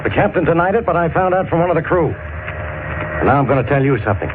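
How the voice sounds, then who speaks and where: An actor playing a gruff, bossy, blustering police inspector in 1940s radio drama style. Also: male voice, on the high side, animated, fast, lightly acted. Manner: blustering, barking orders. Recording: tinny, noisy old 1940s radio recording.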